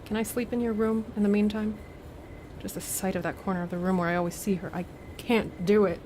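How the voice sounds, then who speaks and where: An actress playing a haunted, anxious young student in a radio play, with a halting, uneasy delivery. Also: female voice, mid-range voice, level tone, background noise, lightly acted. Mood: pleading. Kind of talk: dramatic radio play acting.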